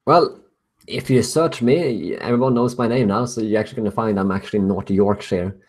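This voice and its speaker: A man's voice: fairly low pitch, a little fast, darkish tone. Swedish man hosting a live Q&A. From Sweden. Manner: casual, chuckling often, reading out viewer questions. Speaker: host. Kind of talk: live stream Q&A.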